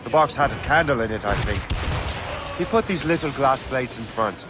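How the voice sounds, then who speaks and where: An actor playing a brash, sardonic young assassin with a wry, questioning manner in a video game. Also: male voice, medium pitch, lively intonation, normal speed, noisy background, natural voice. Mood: curious. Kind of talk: dramatic video game acting.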